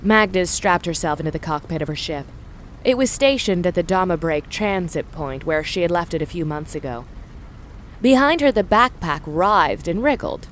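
A woman's voice: fairly low pitch, lively intonation, normal speed, a little hiss or hum, poor audio. A woman reading scripted story narration for an audio drama.

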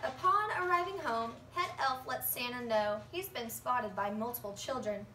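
A young woman reading a children's storybook aloud in an expressive, singsong way. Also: on the high side, normal speed. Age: young adult. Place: living room at home, indoors. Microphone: phone camera a few metres away. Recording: roomy, distant phone recording with faint noise.

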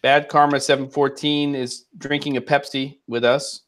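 A man's voice: medium pitch, moderate pace. Chatty male host on a video call. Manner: relaxed, chatty and joking. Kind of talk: casual chat on a video call. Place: home room with curtains and lamp.

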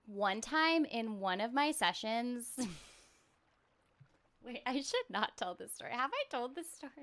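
A woman's voice: fairly high pitch, big pitch swings, slow, long pauses, a bit bright. A young woman chatting casually on a livestream, playful and exuberant, theatrically acting out little quotes. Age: young adult. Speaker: streamer and voice actor. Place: small indoor room, streaming over a game.

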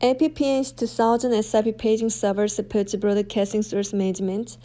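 A woman reading scripted product demonstration narration in a measured, formal manner. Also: medium pitch, little pitch movement, dark tone.

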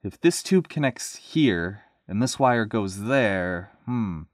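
A man's voice: mid-range voice, animated, a little fast, dry recording, studio quality, lightly acted. A man voicing a genial, humble older male steam enthusiast in a storybook, friendly and a little hesitant. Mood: hesitant, sheepish.

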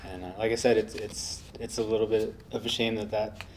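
A man in his thirties talking about his life in a relaxed interview, soft-spoken and rambling with lots of fillers. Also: on the low side, fairly quick, a little hiss or hum. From United States. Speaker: interviewee, guitarist. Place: indoor workshop studio.

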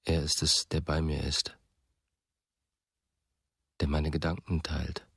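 A brooding radio play narrator. His voice is low and very husky, and he takes his time over each measured phrase. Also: flat delivery, a bit bright, no echo, clean studio sound, full character voice. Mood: brooding, wistful. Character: brooding male narrator, old friend of a missing writer. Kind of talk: German radio drama acting, first-person narration.

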